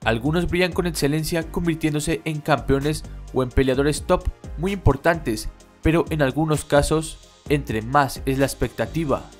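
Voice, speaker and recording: Young man narrating a sports video. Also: a little high. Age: young adult. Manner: steady, informative delivery. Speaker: channel host. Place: small home room. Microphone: studio microphone on stand.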